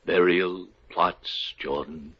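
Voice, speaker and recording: A solemn, husky undertaker. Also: male voice, low-pitched, fairly even intonation, slow pace, fairly bright tone, full character voice. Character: solemn older male undertaker salesman. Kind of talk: 1950s radio comedy acting. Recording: dull lo-fi old radio broadcast.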